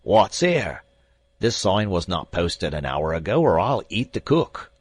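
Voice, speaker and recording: A man voicing a rough, bullying sailor who shouts and jeers, in a storybook reading. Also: sits low, big pitch swings, unhurried, dry recording, exaggerated voice. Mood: jeering, shouting. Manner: shouting, jeering. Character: rough, bullying male sailor, loud and coarse.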